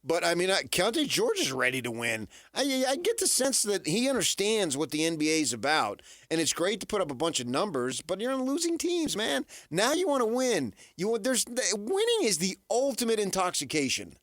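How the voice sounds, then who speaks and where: A man's voice: high voice, wildly swooping pitch, normal speed, hardly any pauses, a bit bright, no echo, clean studio sound. A man hosting a sports radio show, debating off the cuff in an emphatic, insistent way and repeating his points for effect. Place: radio studio.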